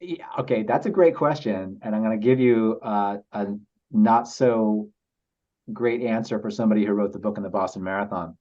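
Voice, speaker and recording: Low-voiced man reflecting, slightly muffled recording. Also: warm tone. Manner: halting, reflective, earnest, repeats words. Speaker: podcast guest, author. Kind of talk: casual interview storytelling. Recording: slightly dull lo-fi audio.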